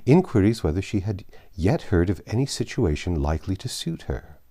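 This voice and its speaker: A man's voice: low voice, big pitch swings, moderate pace, mellow. A man reading a classic novel aloud as scripted narration. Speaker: audiobook narrator.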